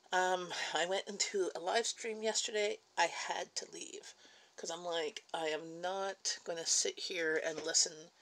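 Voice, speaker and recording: A woman's voice: on the low side, gravelly. A Canadian woman with a Canadian English accent chatting casually at home in her kitchen on a livestream, reading viewer comments aloud, answering them and laughing between remarks. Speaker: livestream host.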